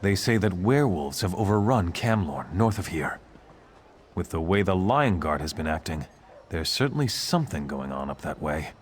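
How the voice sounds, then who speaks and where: An actor playing a stern, earnest city guard officer in a fantasy game. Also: male voice, low voice, sing-song, moderate pace, slight background noise. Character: stern male city guard officer, regretful and duty-bound. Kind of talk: scripted fantasy game dialogue.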